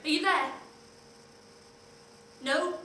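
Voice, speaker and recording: An actress playing a woman who leaves a low, urgent, secretive message. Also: drawn-out words, bright, forceful tone, reverberant, recorded from a distance, a little hiss or hum, heavily acted. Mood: conspiratorial. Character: hushed, urgent conspiratorial woman caller.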